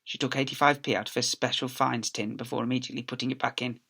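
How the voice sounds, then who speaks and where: A man who is a teacher reading a story aloud to children, with animated, theatrical emphasis. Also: mid-range voice, quick pace, vocal fry, husky. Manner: theatrical emphasis with acted sound effects.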